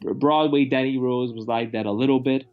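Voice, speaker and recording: A man's voice: medium pitch, fairly even intonation, a little fast, narrow, tinny audio. A man chatting casually about films in a rambling, opinionated way, with some profanity. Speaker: movie review host. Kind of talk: casual film review chat.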